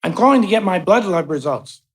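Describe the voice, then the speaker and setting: A woman's voice: on the low side, animated, a little fast, close to an everyday voice. A woman playing an affable, wry male patient in a live radio play.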